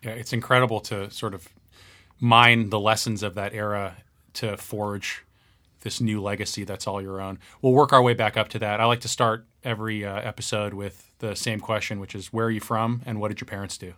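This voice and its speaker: A man hosting an interview podcast, asking questions in a measured, articulate way. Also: lowish voice, steady tone, pushed and bright.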